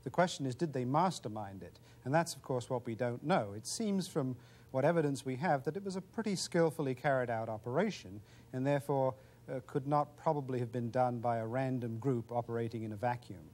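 Middle-aged policy guy on a news show, crisp and sure of himself. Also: mid-range voice, a little fast, darkish tone. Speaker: policy expert guest. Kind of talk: studio interview answer. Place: television studio.

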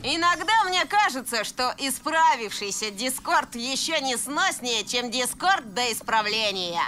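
Spirited young cartoon pony: skeptical, blunt, high-pitched and forceful, soft music behind. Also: female voice, expressive, a little slow. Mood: exasperated. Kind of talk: animated cartoon dub acting.

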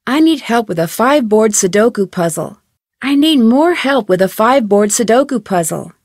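An actress playing a curious, level-headed young female detective in an adventure game, speaking in an earnest, composed, clearly enunciated way. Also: a little high, animated, moderate pace, a little mellow, no room sound, clean studio sound. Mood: friendly, matter-of-fact. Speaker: voice actor, game protagonist. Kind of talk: scripted adventure game dialogue.

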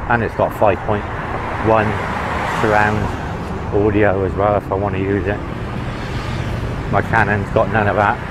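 Bloke chatting away on his camera mic while walking, low-ish voice, cars going by. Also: flat delivery, unhurried, long pauses. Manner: chatty, opinionated, talks to viewers while walking. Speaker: travel vlogger. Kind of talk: casual walk-and-talk narration. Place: outdoors on a busy city roadside. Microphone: handheld camera mic. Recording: street traffic noise behind.

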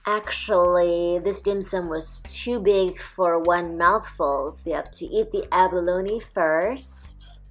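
Slow, calm woman narrating a food review. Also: on the low side. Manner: calm, measured, descriptive. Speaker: food vlogger.

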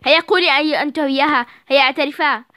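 A woman voicing a stern mother who loudly scolds her two daughters. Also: sits high, expressive, rapid-fire, dry recording, clean studio sound. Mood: scolding. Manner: loud, scolding.

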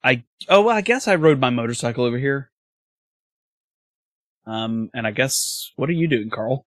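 A man's voice: medium pitch, animated, normal speed, dry recording, studio quality. A man playing in a tabletop game, describing his character in a rambling, hesitant way with easy laughs. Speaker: player. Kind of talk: casual tabletop roleplay chat.

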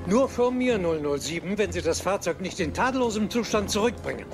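An exasperated older weapons inventor from a spy film. He talks high and officiously, with dry precision, over clear music. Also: animated, a little fast. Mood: scolding. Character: fussy, exasperated older male gadget master. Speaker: gadget master. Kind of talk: dubbed film acting.

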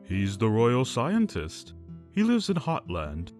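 A man voicing a big, gentle, fatherly goat monster king in a cartoon comic dub, kindly and folksy. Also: medium pitch, huge pitch swings, slow, faint music behind. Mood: kindly, mild.

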